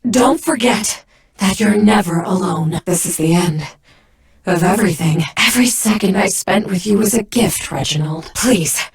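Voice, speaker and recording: A woman playing a proud, icy young warrior in an anime game, with theatrical, imperious and deliberate delivery. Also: medium pitch, natural voice. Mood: solemn, devoted. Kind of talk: English anime game voice-over battle lines.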